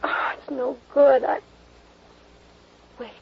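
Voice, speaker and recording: An American woman playing a dazed, anxious young murder suspect in a 1950s radio drama, faltering and pleading in a breathy voice. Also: high-pitched, level tone, slow pace, heavily acted. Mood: dazed, confused. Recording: dull old radio recording.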